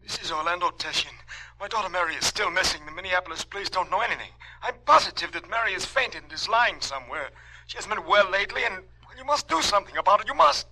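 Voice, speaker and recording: A man's voice: high-pitched, animated, quick pace, sharp tone, full character voice. An actor playing a worried, pleading father of a missing daughter in a 1950s radio drama, stammering and insistent, on a noisy old recording. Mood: desperate pleading.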